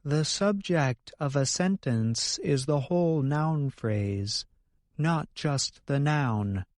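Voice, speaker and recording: Higher-voiced man explaining slowly. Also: expressive, dry recording, clean studio sound. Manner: careful, explanatory delivery. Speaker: co-host of an English lesson podcast. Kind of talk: scripted educational narration.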